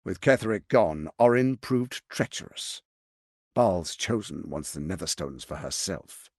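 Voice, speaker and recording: A man playing a smooth-tongued, condescending tyrant lord in a video game, with a pompous, theatrical delivery and deliberate emphasis. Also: sits low, lively intonation, a little mellow, no room sound, clean studio sound, full character voice. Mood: condescending, controlled.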